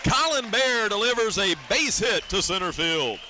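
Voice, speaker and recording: A man calling baseball play-by-play on the radio in a live sports commentary style, with measured, deliberate phrasing. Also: high-pitched, animated, background noise.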